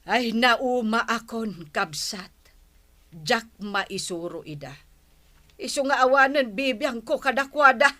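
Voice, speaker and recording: A sharp, forceful voice from a woman acting a middle-aged mother in an audio drama. She is blunt, scornful and fed up, speaking slowly with animated swings in pitch. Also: full character voice. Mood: bitter, dismissive. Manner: blunt, exasperated. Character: fed-up, scornful mother washing her hands of her son. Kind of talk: Ilocano radio-style audio drama acting.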